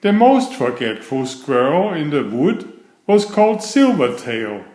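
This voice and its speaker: Slow, lively male storyteller in a roomy space. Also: a little high. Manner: measured, expressive storytelling. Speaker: storyteller reading a children's book. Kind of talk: read-aloud children's story narration.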